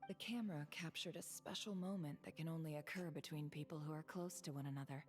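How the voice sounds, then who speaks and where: A woman playing a poised, observant video game character who is quietly caring and sly, musing to herself in a composed, deliberate way. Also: low-pitched, music in the background, natural voice. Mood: curious, musing. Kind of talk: animated video game voice acting.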